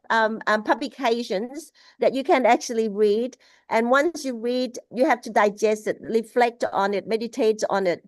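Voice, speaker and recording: A woman from Laos giving a casual spoken Buddhist teaching over a video call, halting, with many restarts and fillers. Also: a little high, no room sound. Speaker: Buddhist speaker.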